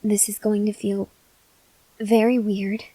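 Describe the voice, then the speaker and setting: A woman playing a gentle, awkward, hungry female vampire in an ASMR roleplay, speaking softly and hesitantly with breathy near-whispers and tender reassurance. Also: medium pitch, flat delivery, slow pace, dry recording, rough recording. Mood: careful, tender. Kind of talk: ASMR roleplay, intimate address to the listener.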